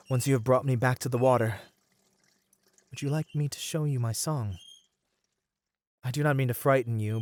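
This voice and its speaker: A man playing a merman siren in an ASMR roleplay, speaking in courteous, formal phrases as a seemingly gentle creature with a predatory streak. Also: mid-range voice, natural voice. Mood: eager, earnest. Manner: soft, courteous, formal, halting speech. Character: male merman siren, feigning gentle innocence, secretly predatory.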